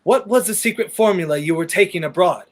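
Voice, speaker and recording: Actor as earnest young wrongly accused gentleman. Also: high-pitched, expressive, brisk, slight background noise. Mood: urgent, insistent. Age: young adult. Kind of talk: 1930s radio play acting.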